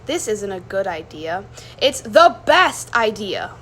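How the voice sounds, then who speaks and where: A woman voicing a bold, eager girl adventurer from a storybook with emphatic, excited delivery. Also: medium pitch, slow, heavily acted. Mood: excited, determined.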